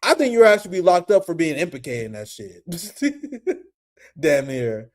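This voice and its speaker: A young man hosting a casual political commentary stream, animated and profane, laughing often. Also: on the high side, normal speed.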